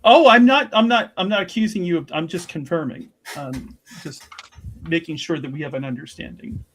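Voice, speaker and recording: A man's voice: fairly high pitch, normal speed, fairly bright tone, voice pushed up. A man playing a polite, diplomatic female investigator in a tabletop roleplaying game, speaking in careful, formal, hedging phrases. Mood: placating.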